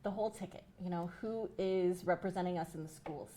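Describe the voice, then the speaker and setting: A woman activist, a guest on a talk show, speaking in an animated way with frequent "you know". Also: on the low side, a little fast, slight background noise. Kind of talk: casual chat.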